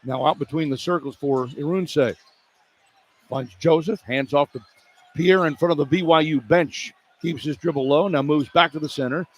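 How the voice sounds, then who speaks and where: A man's voice: on the high side, moderate pace. A man doing live radio basketball play-by-play in short, clipped running phrases over arena noise. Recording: arena crowd noise behind broadcast. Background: arena ambience.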